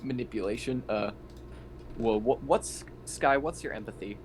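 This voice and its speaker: A man playing a tabletop roleplaying game, talking casually and haltingly, partly in character. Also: medium pitch. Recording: noisy home mic.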